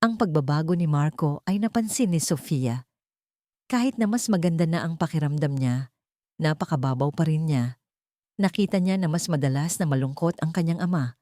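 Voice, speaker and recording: A woman narrates a scripted drama as an audio storyteller. Her voice sits on the low side for a woman. The sound is dry, with very little room in it. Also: normal speed, dark tone, no room sound.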